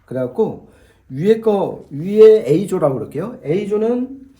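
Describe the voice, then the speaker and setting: A man's voice: high-pitched, animated, slow, dark tone. A man speaking as an audio expert, explaining things conversationally and step by step, often repeating points for clarity. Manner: didactic, explains step by step with repetition.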